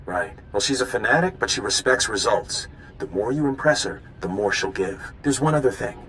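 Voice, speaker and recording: A man playing an earnest, eager undercover informant in a video game, hurried and slightly awkward, with rumbling noise behind him. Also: lively intonation, a bit bright, lightly acted, low-quality recording. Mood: urgent, confiding. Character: earnest, eager male rebel informant, secret agent.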